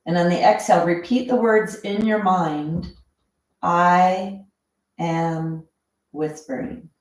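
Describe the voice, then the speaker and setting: A woman's voice in a very reverberant room, speaking very slowly and fairly low. She guides a breathing meditation as an instructor, calm and measured, with long pauses between short phrases.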